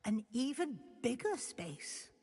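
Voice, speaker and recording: An actress playing a composed, encouraging professor at a magic school in a video game, speaking in a measured, instructive way. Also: female voice, a little high, sing-song, dark tone, husky, soft background music, heavily acted. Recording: long hall-like reverb.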